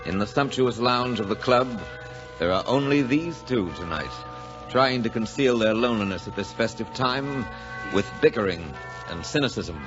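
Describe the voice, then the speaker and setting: A man's voice: medium pitch, expressive, normal speed. A man introducing and narrating a radio drama in scripted 1940s style. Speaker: narrator and host. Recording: muffled 1940s radio broadcast recording. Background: music.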